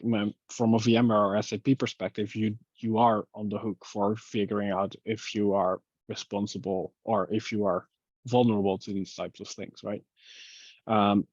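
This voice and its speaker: A man's voice: lowish voice, a little mellow, no room sound, lo-fi sound. A man thinking aloud in an online technical meeting, heavy on fillers like "like" and "um". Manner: thinking aloud, filler-heavy, laughs at his own slips. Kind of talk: casual technical meeting discussion. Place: online video call.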